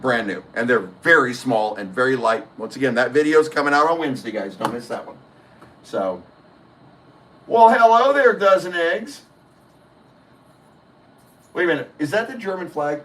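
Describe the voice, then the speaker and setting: A man's voice: a little high, lively intonation, normal speed, long pauses, fairly bright tone, slight background noise, rough recording. A middle-aged man, hosting a casual livestream and chatting with viewers, reading their comments aloud and answering them. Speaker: livestream host. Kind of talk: casual live stream chat.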